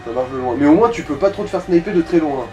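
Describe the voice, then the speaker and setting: A man's voice: fairly high pitch, animated, quick pace, dark tone. A man making offhand, slangy remarks as a co-commentator on a video game. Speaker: co-commentator advising the player. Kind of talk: casual chat over gameplay. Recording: distant mic, music behind.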